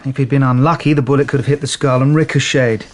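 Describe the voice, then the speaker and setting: An actor playing a matter-of-fact, world-weary police detective in a naturalistic radio drama, casual and pragmatic. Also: male voice, steady tone, fast talker, lightly acted. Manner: casual, pragmatic, low-key.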